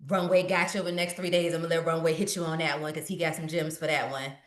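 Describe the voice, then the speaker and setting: A woman from Richmond, Virginia, a business coach answering questions in a lively, preachy, motivational way. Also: sits low, quick pace, reverberant. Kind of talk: live webinar Q&A.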